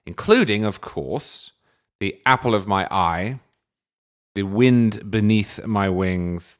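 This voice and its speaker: A man hosting his podcast with a dry, wordy, mock-grandiose manner before interviewing a guest. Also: fairly low pitch. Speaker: podcast host. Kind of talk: scripted comic monologue and interview.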